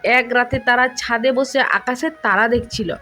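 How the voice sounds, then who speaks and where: A woman's voice: a little high, steady tone, normal speed, pushed and bright. A woman storyteller reading a scripted romantic tale.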